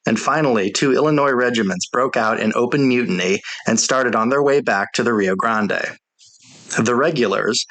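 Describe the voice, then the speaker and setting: A man's voice: medium pitch, a bit bright, rough recording. A man reading a soldier's memoir aloud as scripted audiobook narration.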